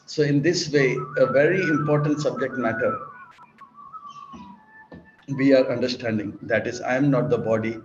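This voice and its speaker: A man's voice: medium pitch, normal speed, pauses often, noisy background. A man giving a sermon, preaching in repetitive, list-like emphatic phrases and sometimes acting out dialogue. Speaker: monk and preacher. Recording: roomy, dull lecture recording.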